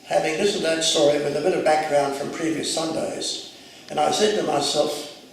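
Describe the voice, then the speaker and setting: A retired clergyman in his eighties, first raised speaking Arabic, looks back on his childhood in a calm, measured way. His voice is somewhat high and gravelly. It sounds far from the mic in a very echoey room with a faint hiss. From Jerusalem, Mandatory Palestine. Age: 80s. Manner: measured, reflective storytelling. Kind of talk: oral history recollection.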